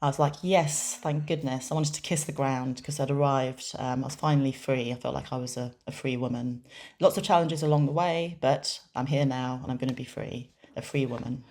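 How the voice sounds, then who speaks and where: A woman with a strikingly deep voice gives interpreted interview answers in the first person. She speaks in a measured way, pausing often and restarting her phrases. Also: steady tone, darkish tone. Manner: measured, with frequent pauses and restarts.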